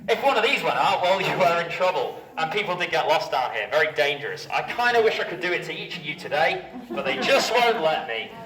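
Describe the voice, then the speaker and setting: A man's voice: on the high side, fairly bright tone. A man working as a cave tour guide, addressing a group informally with wry, jokey asides. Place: inside an underground cavern. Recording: roomy cave echo, distant, group noise. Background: crowd of tour visitors.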